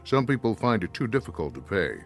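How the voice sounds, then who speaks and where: A man's voice: on the low side, sing-song, fairly quick, faint music behind. A man giving scripted announcements as the announcer of a radio drama.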